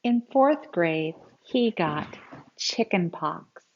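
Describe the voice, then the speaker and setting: Slow-paced woman reading to children. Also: lowish voice, animated, muffled recording, a little hiss or hum. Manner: simple explanatory asides addressed to children. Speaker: storyteller reading a picture book aloud to children. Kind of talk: expressive read-aloud to young children.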